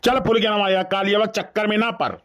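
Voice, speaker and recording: A man's voice: high-pitched, little pitch movement, brisk, a bit bright. A man voicing an irritable, scolding village father in a comedy cartoon, with an Awadhi accent. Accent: Awadhi, eastern Uttar Pradesh.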